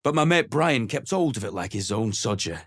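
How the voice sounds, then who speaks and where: Gruff sellsword, husky, low-voiced, dry studio sound. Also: male voice, big pitch swings, normal speed, a bit bright, no room sound. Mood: surly. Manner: surly, contemptuous. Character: gruff rough-spoken male mercenary leader. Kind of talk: video game voice acting.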